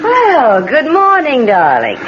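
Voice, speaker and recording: An actress playing a wealthy, affectionate but fretful fiancée with a genteel, fussing manner in a radio drama. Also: female voice, high-pitched, wildly swooping pitch, takes it slowly, music in the background, exaggerated voice. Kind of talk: 1970s radio drama acting. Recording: dull, noisy old radio broadcast.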